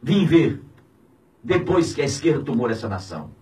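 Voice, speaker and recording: A man in his fifties with a Brazilian accent, a pastor speaking out in an indignant, preaching tone on the radio, swinging between shouts and low, heavy words. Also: sing-song, moderate pace, distant mic. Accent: Brazilian Portuguese. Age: 50s. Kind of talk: impassioned radio commentary. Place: small radio studio. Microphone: studio mic on a boom, filmed from a distance.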